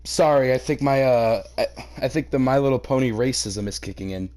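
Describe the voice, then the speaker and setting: A man's voice: medium pitch, fairly quick, darkish tone. A man doing a comedic reaction reading, narrating a story aloud and breaking off into mocking, sweary, theatrically exasperated commentary. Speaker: host reading a fan fiction aloud and reacting.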